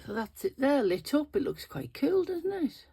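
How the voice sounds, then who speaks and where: A woman's voice: high voice, very animated, normal speed, creaky, dark tone. Sniffly woman, middle-aged, casual chatty craft host talking through her work. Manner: chatty, thinking aloud while working with her hands. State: sniffly and nasal. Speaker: resin craft video host. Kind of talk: casual craft tutorial talk.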